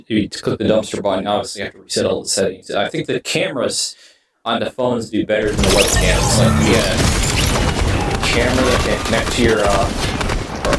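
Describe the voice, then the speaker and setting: A man talks through his gaming stream in a casual, rambling way. His voice is echoey and sits back from the mic, with loud music playing behind him. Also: lowish voice, far from the mic.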